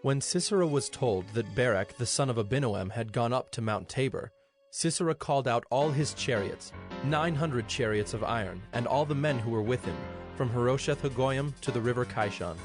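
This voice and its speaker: A man narrating scripture in a solemn, measured storytelling manner. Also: medium pitch. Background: music.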